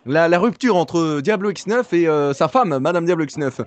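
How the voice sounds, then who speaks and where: An earnest breaking-news anchor, played by a man with a high-pitched voice. He reports as if live, insisting and repeating himself while stumbling over his words in a mock broadcast for a prank call. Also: expressive, normal speed, no echo, lightly acted. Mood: mock serious. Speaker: prank caller.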